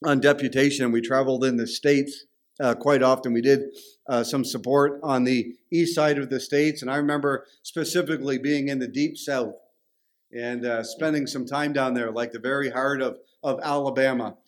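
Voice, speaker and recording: A man's voice: mid-range voice, fairly even intonation, normal speed. A man with a Canadian accent preaching a sermon in a conversational, storytelling way. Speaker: pastor.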